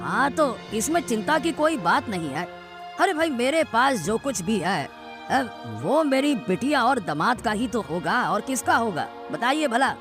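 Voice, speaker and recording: A woman's voice: fairly high pitch, sing-song, unhurried. A woman playing an ageing, genial village headman in a cartoon moral story, speaking in a reassuring way. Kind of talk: animated moral story dialogue. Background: background music.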